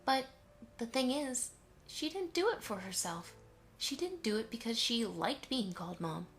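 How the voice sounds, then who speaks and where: A woman plays a gentle pony mother and grandmother in a fan radio play. Her voice sits a little high and she speaks fondly and reassuringly. Faint music plays behind her. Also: expressive, normal speed. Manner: soft, reassuring, fond. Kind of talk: fan audio drama acting.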